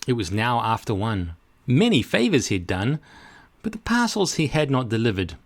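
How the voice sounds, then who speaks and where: A man reading a rhyming children's story aloud in an expressive, rhythmic, dramatic way. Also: medium pitch, normal speed.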